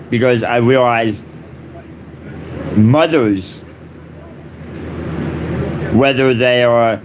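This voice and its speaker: Guy doing a solemn off the cuff speech, super slow n halting, repeats himself, noticeable hum in the background. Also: medium pitch. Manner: halting, short phrases with long pauses, repetitive. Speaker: speaker giving a personal address. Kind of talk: solemn improvised monologue.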